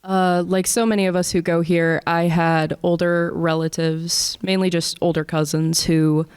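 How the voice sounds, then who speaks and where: A woman's voice: lowish voice, little pitch movement, measured pace, a little mellow, smooth, no room sound. A woman of about twenty from Indiana with an American accent, speaking informally as a student panelist. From Indiana, United States. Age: around 20.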